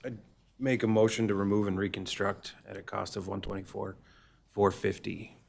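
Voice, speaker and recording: A man on a city council asks a question in a low, gravelly, flat voice. He rambles a little and thinks aloud as he goes. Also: normal speed. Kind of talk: council meeting discussion. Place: council chamber.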